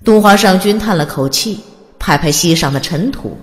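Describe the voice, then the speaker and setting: A woman's voice: on the low side, lively intonation, normal speed. A woman narrating a fantasy audiobook in a scripted, dramatic storytelling style. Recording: long reverb, faint music underneath. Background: soft music.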